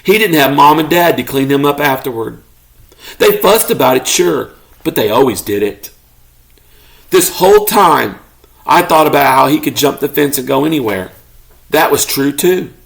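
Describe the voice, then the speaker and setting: A man reading a children's story aloud expressively, as a parent does to a child, pausing to ask questions. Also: a little high, expressive, creaky, a bit bright. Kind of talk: expressive children's read-aloud, narrating as a pet dog.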